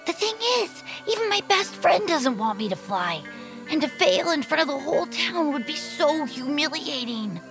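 A high, youthful voice for a plucky young inventor. She is dreamy, earnest and whimsical, in a family audio drama with prominent music. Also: very animated, normal speed. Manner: whimsical, earnest, talks to herself.

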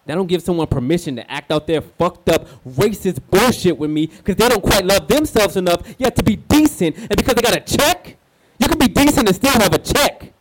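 A man's voice: sits high, expressive, fairly quick, poor audio. An actor playing a candid, streetwise young male escort as a radio show guest, with an American accent and slangy, confiding talk. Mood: defiant, angry. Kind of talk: radio play acting, slangy conversational monologue.

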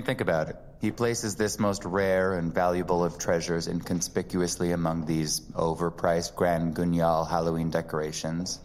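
An actor playing a shrewd antiques appraiser in an audio drama, dry, professional and deliberate. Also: male voice, low-pitched, big-room sound, slight background noise, lightly acted. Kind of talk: dramatic audio fiction acting.

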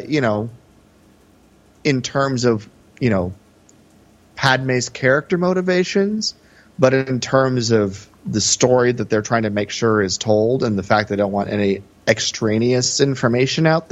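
A man's voice: medium pitch, animated, a little slow, highs cut off. A man co-hosting a podcast, talking through film in a casual, conversational way with hesitant, hedged phrasing. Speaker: podcast co-host.

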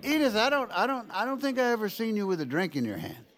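A boozy, high-voiced, animated politician. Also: male voice, fast talker. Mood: playful, bumbling. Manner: stammering, rambling, crude and jokey. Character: boozy, goofy, folksy male vice president. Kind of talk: comedic audio drama acting.

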